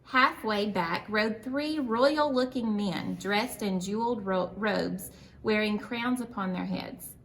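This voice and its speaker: Unhurried woman reading a story aloud. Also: medium pitch, fairly bright tone. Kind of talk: scripted children's read-aloud narration. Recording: roomy, distant mic, faint noise.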